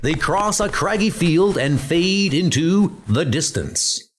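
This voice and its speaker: A man's voice: on the high side, lively intonation, slow pace, a little hiss or hum. A man narrating a comic adventure in a dramatic, scripted style. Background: music.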